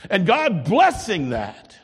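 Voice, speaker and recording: An older man preaching a sermon as pastor, lively, swinging from loud to soft. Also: high voice, takes it slowly, slightly rough, long reverb tail.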